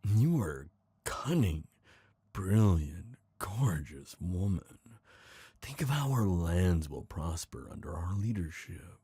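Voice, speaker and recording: A man voicing a smooth, scheming traitor prince, gloating and seductive, in an intimate fantasy ASMR roleplay. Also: low voice, big pitch swings, mellow, no echo, studio quality, full character voice. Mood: seductive, admiring. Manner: slow, intimate, theatrical villain delivery.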